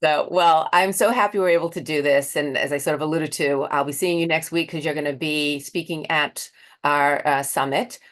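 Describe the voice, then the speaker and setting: Woman, American accent, low pitch, interviewer, chatty rambling phrasing, conversational. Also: steady tone, moderate pace, fairly bright tone. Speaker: interview host.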